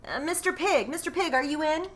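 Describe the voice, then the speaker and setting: A woman's voice: high-pitched, a little fast, fairly bright tone, voice pushed up. A woman reading a children's story aloud as a cheeky, self-pitying wolf, with folksy, indignant and exaggerated delivery. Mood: coaxing. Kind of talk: animated children's read-aloud.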